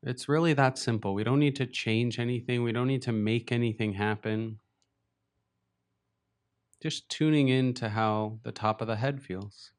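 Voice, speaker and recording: Smooth-voiced man guiding a meditation. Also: mid-range voice, steady tone. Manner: slow, gentle, unhurried guidance with long pauses. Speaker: meditation teacher. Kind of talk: guided meditation.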